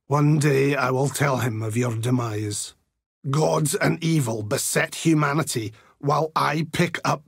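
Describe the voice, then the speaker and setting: A grim, pious holy warrior for a fantasy video game. His older voice is heavily gravelled and very husky, speaking slowly with solemn, righteous conviction. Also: mid-range voice, dry recording, clean studio sound, full character voice. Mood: grave, brooding. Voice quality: gravelly, raspy. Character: zealous, grim Templar holy warrior of God. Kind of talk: video game character voice lines.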